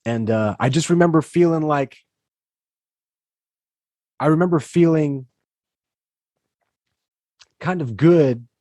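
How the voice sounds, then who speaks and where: A man's voice: fairly high pitch, slow, long pauses, dark tone, dry recording, studio quality. A man hosting a casual interview podcast, talking loosely with lots of restarts and fillers. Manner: rambling, many restarts and fillers. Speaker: podcast host.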